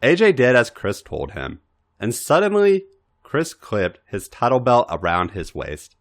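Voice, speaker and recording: A man's voice: mid-range voice, wildly swooping pitch, unhurried. A man narrating a story as a podcast reader, with a dramatic, emphatic delivery. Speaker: podcast host reading fan fiction. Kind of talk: expressive narrated story reading.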